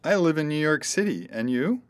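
A woman reading model dialogues and word drills for a language course, with careful, clear articulation. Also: low-pitched, expressive, a little fast.

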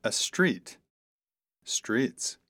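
A woman reading model dialogues and word drills for a language course, with careful, clear articulation. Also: drawn-out words, a bit bright.

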